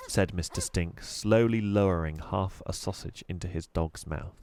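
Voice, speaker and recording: A man narrating a children's storybook expressively, with deliberate, dramatic emphasis. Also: low voice, a little mellow, smooth, dry recording. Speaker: storybook reader.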